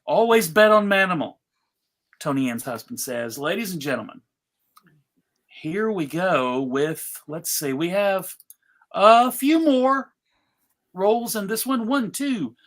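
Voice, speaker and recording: A host speaks at a slow pace in a casual live panel style. The delivery is jokey, with mock-showman announcements addressed straight to the audience. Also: medium pitch, animated, a bit bright. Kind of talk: casual live chat introducing scripts.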